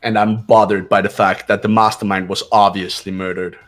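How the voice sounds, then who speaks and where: A man plays a young detective type from a video game, low-voiced and analytical. He speaks formally and with total certainty over faint music. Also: flat delivery, brisk, lightly acted. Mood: cool, certain. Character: cool, analytical, authoritative young male student sleuth. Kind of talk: amateur voice acting of a video game.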